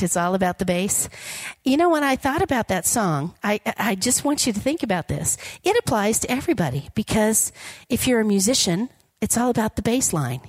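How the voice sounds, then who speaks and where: A woman with a slightly raspy, hoarse voice gives an upbeat, jokey sermon from a church platform and keeps asking the congregation to respond. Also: mid-range voice, dry recording. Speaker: guest preacher. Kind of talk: informal sermon with prayer.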